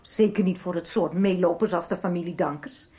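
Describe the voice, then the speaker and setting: A woman's voice: lowish voice, brisk, mellow, natural voice. An actress in a 1990s radio soap playing a menacing, scornful woman who taunts and commands as she presses a man for information. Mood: threatening, scornful.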